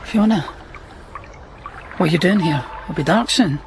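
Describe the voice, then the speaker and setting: An actor playing a gentle, earnest countryman in love in a naturalistic radio drama. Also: high-pitched, measured pace, creaky, background noise. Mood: concerned, resigned.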